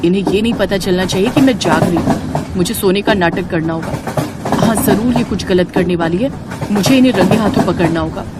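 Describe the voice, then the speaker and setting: A woman's voice: medium pitch, a little fast, heavy background noise, lightly acted. A woman playing a brave, alert young woman in a story, polite, earnest and chatty. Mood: suspicious, alert.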